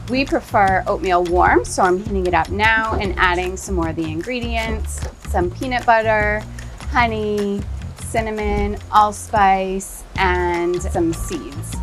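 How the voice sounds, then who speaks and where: A woman in her thirties chatting to a handheld camera on a sailboat, upbeat and chatty. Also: mid-range voice. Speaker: sailing vlogger. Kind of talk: casual vlog to camera. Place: aboard a sailboat at sea. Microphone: handheld camera at arm's length.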